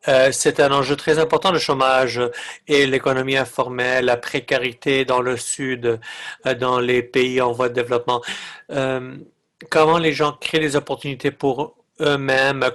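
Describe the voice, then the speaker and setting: Male interpreter, low-pitched for neither extreme, slow pace, halting phrases, live webinar translation. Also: fairly bright tone.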